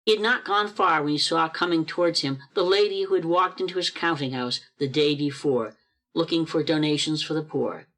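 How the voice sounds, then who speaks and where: A woman's voice: low-pitched, a little fast, fairly bright tone. A woman reading scripted storybook narration as the narrator of a radio play. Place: performed remotely over a video call.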